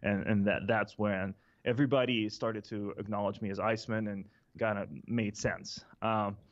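A man's voice: on the low side, little pitch movement, dull audio. A man from Russia with a Russian accent, a guest talking casually in an office, full of fillers and restarts. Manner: halting, many fillers and restarts. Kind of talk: casual interview talk. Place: office conference room with windows. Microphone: studio mic on a boom, headphones.

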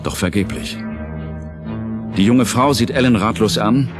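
Low-voiced male audio drama narrator. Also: lively intonation, measured pace. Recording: clear music under narration.

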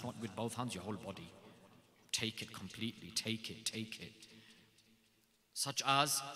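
A man with a low-pitched yet bright, forceful voice gives a devotional address to a gathering. He speaks emphatically and repeats short phrases for weight. His voice rings with the long reverb of a large hall. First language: not Urdu. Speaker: speaker introducing scholars at a religious gathering.

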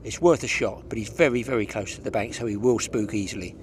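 A man's voice: on the low side, fast talker, slight background noise. A man, hosting a fishing show outdoors at a lakeside and chatting instructively to viewers. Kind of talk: casual outdoor fishing commentary.

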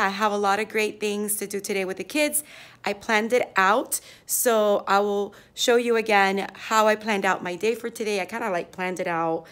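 A woman in her thirties, a fourth grade teacher, chatting upbeat into a phone held at arm's length in her classroom, her voice a little hoarse. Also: mid-range voice, a bit bright. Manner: chatty, upbeat, lots of "like" and "yeah". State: slightly hoarse, losing her voice. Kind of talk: casual vlog chat. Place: classroom, indoors.

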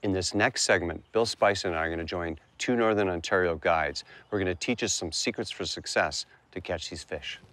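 A middle-aged man presenting a fishing segment to camera outdoors by a riverbank, calm and explanatory. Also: low voice, fairly quick, few pauses, no room sound. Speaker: fishing show host.

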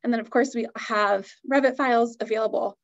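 A woman's voice: fairly high pitch, fairly even intonation, a little fast, dull audio. A woman giving an upbeat, enthusiastic product presentation on a video call.

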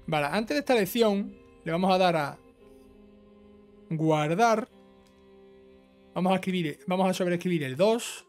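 A man's voice: high voice, measured pace, pauses often, darkish tone, soft background music. A man with an accent from Spain giving an informal, instructional step-by-step video game walkthrough. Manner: instructional, often ends phrases with a checking tag. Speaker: video game trophy guide host.

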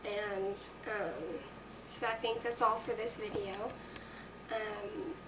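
A teenage girl with a raspy voice chatting earnestly to camera in her bedroom, with lots of ums. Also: mid-range voice, takes it slowly. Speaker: vlogger. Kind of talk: casual chat to camera. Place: small bedroom indoors. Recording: distant webcam audio with noise.

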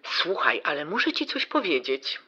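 A woman's voice: lowish voice, very animated, normal speed, pushed and bright, slightly rough, highs cut off, heavily acted. An actress playing a sassy, self-assured middle-aged woman who is flippant and ironic, in a naturalistic audio drama. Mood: breezy, flippant. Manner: breezy, ironic, teasing, with playful foreign words. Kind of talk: naturalistic audio drama acting, phone conversation.

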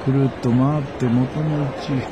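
A gruff middle-aged Kansai bicycle repairman played by a male actor in a radio drama. He grumbles and blusters but is good-natured underneath, and speaks at a middling pitch over clear background noise. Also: flat delivery, a little fast, lightly acted. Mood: wistful.

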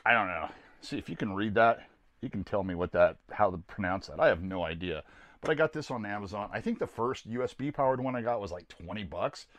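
Low-voiced older American man, casual reviewer. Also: expressive, fairly quick, no room sound. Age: older, around 60s. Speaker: host of a camping gear video series. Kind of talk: casual product review to camera. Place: small camper trailer interior.

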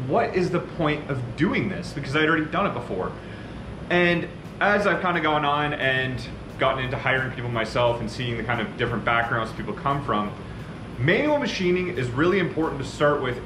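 A man's voice: on the high side, a bit bright. A man in his thirties who owns a machine shop, talking casually to camera and explaining with lots of gestures. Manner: conversational, gestures a lot while explaining. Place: large machine shop, indoors. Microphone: camera at a few metres. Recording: echoey shop with faint noise.

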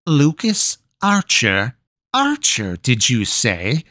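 A man's voice: medium pitch, big pitch swings, takes it slowly, a bit bright, no echo, studio quality, pitched above the performer's own voice, heavily acted. A man voicing a wicked old witch woman who speaks with menacing scorn. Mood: probing. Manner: sneering, menacing.

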